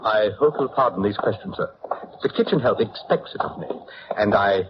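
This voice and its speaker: An actor playing a prim, nosy, formal butler who speaks with arch deference in a 1950s radio drama. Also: male voice, on the low side, expressive, normal speed, close to an everyday voice. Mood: inquisitive. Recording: noisy, dull old radio transcription.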